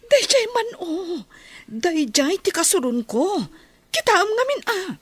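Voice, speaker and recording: A woman's voice: extremely high pitch, sing-song, slow, no echo, full character voice. A woman acting a spiteful, gossiping married village woman in an Ilocano radio drama, with a snide, exaggerated delivery. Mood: irritated, sneering.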